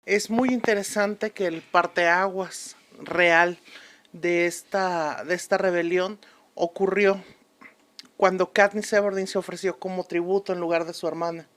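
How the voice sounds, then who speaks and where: A woman acts as a learned historian in a radio play. Her voice sits a little low, and she explains things in a formal, assured and earnest way, like an expert guest. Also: fairly even intonation, a little slow, close to an everyday voice. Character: learned, earnest female historian, a convinced researcher. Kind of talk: radio drama interview.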